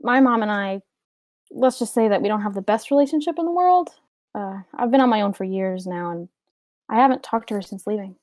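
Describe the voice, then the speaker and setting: A practical young mage played by a woman in a table read. She is guarded and matter-of-fact, with a medium pitch and dry, close sound. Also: lively intonation, fairly quick, a little mellow, dry recording, close to an everyday voice. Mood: sympathetic. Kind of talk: amateur script table read.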